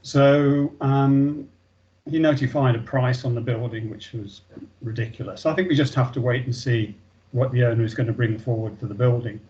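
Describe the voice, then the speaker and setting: A man's voice: mid-range voice, normal speed, warm tone. A man who is losing his voice gives an update in an online meeting over a video call. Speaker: meeting participant giving an update. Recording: dull lo-fi video call audio.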